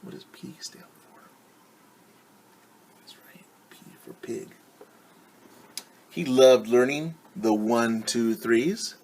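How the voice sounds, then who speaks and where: A man with a mid-pitched voice leads a children's story time at a very unhurried pace. He speaks simply and encouragingly, turning to his young listeners with questions. There is faint noise in the recording. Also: darkish tone. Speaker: teacher reading a picture book to young children.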